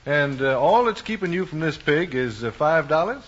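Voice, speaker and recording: Genial small-town American bank president: fatherly, folksy, lively intonation, old-time drama on a lo-fi recording. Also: on the high side, moderate pace. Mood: kindly. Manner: folksy, avuncular, hesitating. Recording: dull, lo-fi vintage recording.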